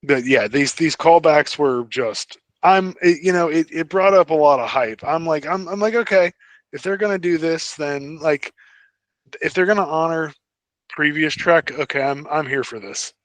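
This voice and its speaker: A man co-hosting a podcast in casual fan chat, thinking aloud in a halting way. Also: a little high, no echo. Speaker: podcast co-host.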